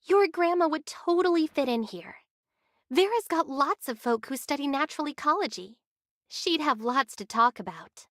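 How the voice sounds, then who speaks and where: Breezy, high-voiced teasing young guide. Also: female voice, animated, moderate pace, fairly bright tone, no echo, clean studio sound. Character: confident, teasing young female guide, casual and caring. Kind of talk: English game voice acting, anime style.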